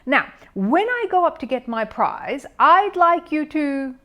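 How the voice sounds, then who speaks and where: A woman's voice: high voice, big pitch swings, a little slow, fairly bright tone, pitched above the performer's own voice, exaggerated voice. A woman reading a storybook as a grumpy, bossy, short-tempered male golfer with a blustering, growling manner. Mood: boastful, loud.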